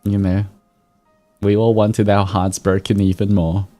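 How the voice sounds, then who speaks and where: Male streamer, very low register, slow unhurried delivery, reading stories and chatting to viewers. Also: flat delivery. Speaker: VTuber streamer host. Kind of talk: livestream fan-fiction reading with casual chat. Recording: soft music behind. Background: background music.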